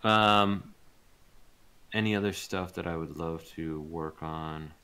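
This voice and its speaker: A man speaking as an interviewed voice actor, chatting casually in a rambling, informal way and pausing to think. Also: low-pitched, bright, forceful tone, clean tone. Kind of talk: casual chat in a livestreamed interview.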